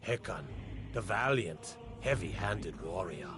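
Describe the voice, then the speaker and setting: Slow, low-voiced Nordic hero. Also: male voice, animated, a crowd in the background. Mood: solemn welcome. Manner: grave, declamatory, archaic. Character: solemn, stately ancient Nordic hero greeting newcomer. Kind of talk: fantasy game voice acting. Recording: clear background ambience noise.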